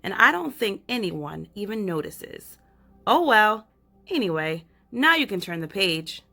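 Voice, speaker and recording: A woman voicing a chatty little girl who has shrunk, telling her own story straight to the reader in a lively storybook way. Her voice sounds a little worn, and her pitch sits in a middle range. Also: measured pace, vocal fry, faint music behind, heavily acted. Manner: lively storybook read-aloud. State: losing her voice.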